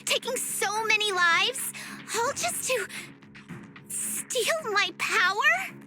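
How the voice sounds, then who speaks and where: A small young tiger girl with a high, very lively voice. She is a righteous anime game heroine, proud, earnest and declamatory. Music is clearly heard behind her. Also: slow pace, heavily acted. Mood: indignant. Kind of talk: anime-style video game voice acting.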